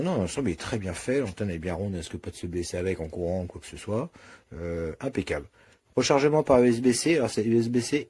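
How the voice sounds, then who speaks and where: A man's voice: fairly low pitch, mellow, a little hiss or hum. A man giving a casual, unscripted product review, talking colloquially and thinking aloud as he demonstrates.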